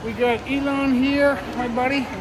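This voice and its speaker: A man's voice: very high-pitched, flat delivery, normal speed. A man hosting a casual travel vlog, chatting upbeat to viewers while filming on a phone. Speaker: vlogger, host. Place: busy indoor shopping mall and food court. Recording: loud crowd noise behind.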